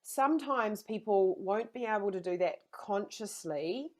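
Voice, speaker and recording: A woman guest talking candidly in an interview, earnest and energetic, often repeating her phrases. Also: mid-range voice, measured pace. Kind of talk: candid conversational storytelling.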